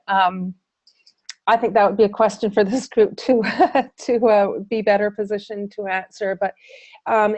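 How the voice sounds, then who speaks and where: A woman's voice: medium pitch, darkish tone, no echo. A woman presenter answering audience questions in a webinar, speaking with many ums, uhs and restarts.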